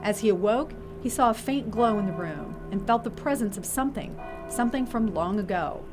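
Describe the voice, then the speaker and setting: A woman's voice: medium pitch, lively intonation, fairly quick, music in the background. A woman giving scripted storytelling narration as the narrator of an audio theater piece.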